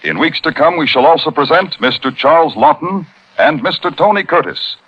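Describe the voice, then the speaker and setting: A man doing dramatic 1950s radio announcing in a theatrical, measured way. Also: on the high side, lively intonation, breathy edge. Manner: theatrical, measured billing of stars. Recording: muffled old radio broadcast with music.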